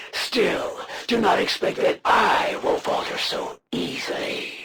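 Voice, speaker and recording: A woman playing a proud, menacing female alien assassin in a video game, speaking in a formal, haughty, deliberate way with breathy phrase ends. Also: lowish voice, a little hiss or hum, exaggerated voice. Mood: cold pride. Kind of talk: scripted video game villain monologue.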